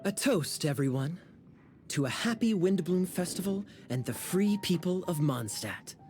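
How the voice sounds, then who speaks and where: An actor voicing a suave, teasing young knight captain in a video game, with a smooth, wry, theatrical delivery. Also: male voice, sits high, expressive, unhurried, a little mellow, soft background music. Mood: amused.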